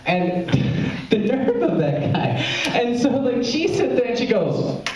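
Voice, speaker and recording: A man's voice: very high voice, very animated, slow pace, darkish tone, a little hiss or hum. Rambling stand-up man in an echoey club. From Memphis, Tennessee, US. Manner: rambling, self-interrupting, laughs at own jokes. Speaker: stand-up comedian. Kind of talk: open mic stand-up. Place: small club, live audience. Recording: very echoey room, from far off.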